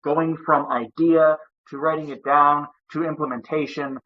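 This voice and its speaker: A man's voice: a little high, little pitch movement, measured pace. A man hosting a podcast interview, speaking in long, wordy sentences. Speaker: podcast host. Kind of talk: interview host monologue. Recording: dull lo-fi sound.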